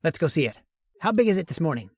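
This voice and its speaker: A woman's voice: low voice, fast talker, husky, dry recording, studio quality, full character voice. A woman playing an obsessed, reckless male scientist in an old-time radio horror drama, swinging between wonder and scorn. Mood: brusque. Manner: excitable, marvels and snaps by turns.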